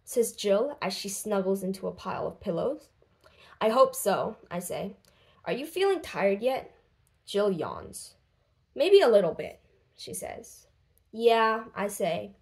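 A woman reading a children's book aloud expressively, with deliberate, dramatic phrasing and lifted voices for dialogue. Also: mid-range voice. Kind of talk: expressive storybook read-aloud.

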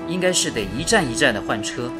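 Man acting a reassuring engineer, expressive intonation. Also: medium pitch, fast, loud music behind the voice, natural voice. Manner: steady, reassuring. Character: calm, reassuring male wartime radio engineer. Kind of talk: radio drama acting.

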